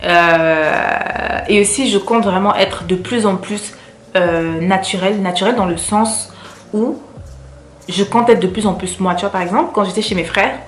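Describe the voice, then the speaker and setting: A woman's voice: mid-range voice, moderate pace, echoey room, a little hiss or hum. A woman from Cameroon with a French accent, chatting to her viewers in a casual vlog, repeating words for emphasis. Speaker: vlogger.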